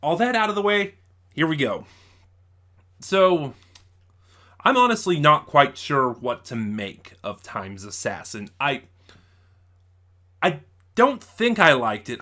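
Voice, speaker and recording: Bright-voiced man giving a casual review. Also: on the high side, big pitch swings, a little slow. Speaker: online reviewer, show host. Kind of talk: casual spoiler review of an audio drama.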